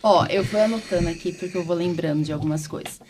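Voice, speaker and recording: A woman's voice: lowish voice, quick pace, darkish tone, a little hiss or hum. A woman with a Brazilian accent hosting a podcast, chatting informally and often adding little check-in tags. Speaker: podcast host, doctor. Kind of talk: casual conversational interviewing.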